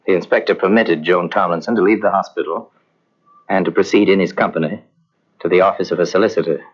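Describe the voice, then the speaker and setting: A man narrating a detective mystery in old-time radio style, grave and measured. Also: low-pitched, steady tone. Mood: solemn. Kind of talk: 1950s radio drama narration. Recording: dull old radio transcription.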